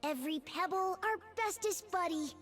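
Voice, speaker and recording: A woman's voice: very high-pitched, normal speed. A woman voicing a small, chipper male yordle scout leader in a video game, earnest, naive and perky. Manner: earnest, perky, reciting rules like a proud scout. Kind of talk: video game champion voice lines.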